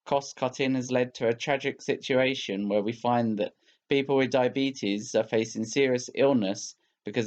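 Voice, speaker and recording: A man's voice: medium pitch, a little mellow. A man reading a scripted political commentary in a formal, polemical manner on a slightly dull recording.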